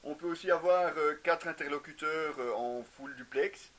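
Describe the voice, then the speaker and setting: A man's voice: a little high, normal speed, a bit bright. A man in his thirties giving a casual product review to camera in a garage, explaining with frequent hesitations. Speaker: motorcycle gear reviewer. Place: indoor garage with shelves.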